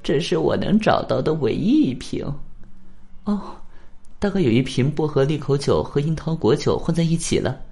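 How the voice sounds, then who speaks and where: A man voicing a humble, sorrowful old widow who runs a restaurant, halting and deferential, in a radio drama. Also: on the high side, expressive, vocal fry, dark tone, soft background music, pitched above the performer's own voice. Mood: deferential, uneasy.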